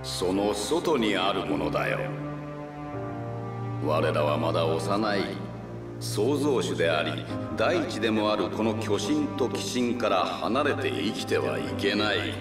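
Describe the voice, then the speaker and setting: Deep, echoing wise giant elder. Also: male voice, lively intonation, loud music behind the voice. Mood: contemplative, hopeful. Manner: stately, contemplative, measured. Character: wise, gentle, hopeful male giant elder. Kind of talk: dramatic video game flashback acting. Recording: echoing, distant flashback effect.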